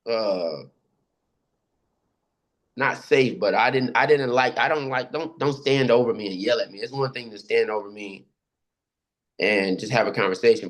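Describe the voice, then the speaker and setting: Man haltingly telling a personal story. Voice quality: deep voice. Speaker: participant sharing personal experiences. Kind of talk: casual personal storytelling in a group discussion.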